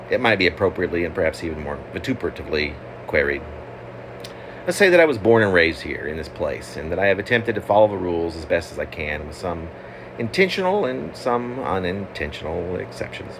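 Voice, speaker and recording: A man reading his own poem as a wry, halting, digressive literary monologue. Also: deep voice. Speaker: poet reading own work. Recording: clearly noisy, steady background hum.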